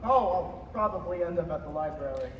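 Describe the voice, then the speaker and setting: A man's voice: on the high side, lively intonation, a little fast, fairly bright tone. A young male actor playing an eager, restless small-town young man with big dreams, in a stage radio play. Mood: eager, dreamy. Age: teenager. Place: high school theater stage. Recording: roomy stage recording, distant mic, faint noise.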